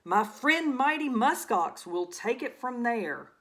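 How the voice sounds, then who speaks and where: A woman's voice: a little high, sing-song, slow pace, fairly bright tone, full character voice. A woman voicing a huge, helpful male walrus in a storybook, proclaiming proudly. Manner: proclaiming, bellowing.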